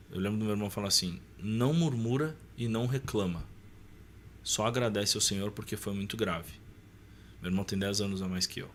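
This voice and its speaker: A man's voice: on the low side, a little hiss or hum. A man with a southern Brazilian accent casually telling a personal story as a podcast guest, acting out dialogue as he goes.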